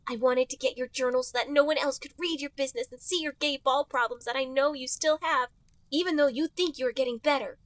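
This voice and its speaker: A woman's voice: high-pitched, steady tone, fast talker, dry recording, close to an everyday voice. A woman voicing a hurt, earnest young male fox who reproaches his best friend in a wordy way.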